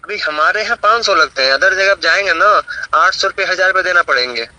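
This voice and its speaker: A man with a strikingly bright, forceful voice speaks as a service agent on a phone call. His phrases are clipped and often repeated, in unscripted everyday conversation. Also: high voice, fast talker.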